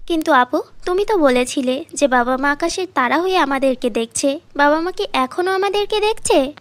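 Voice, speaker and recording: Woman as a high-pitched tearful little orphan girl. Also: normal speed, no room sound, close to an everyday voice. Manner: childlike, pleading, plaintive. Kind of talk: cartoon fairy tale dialogue.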